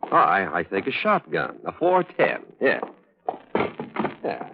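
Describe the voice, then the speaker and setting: Low-voiced, eager gun shop clerk. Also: male voice, huge pitch swings, slow, heavily acted. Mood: obliging, eager. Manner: deferential, hesitant, folksy salesman. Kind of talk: old radio thriller acting. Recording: muffled, faintly noisy old radio recording.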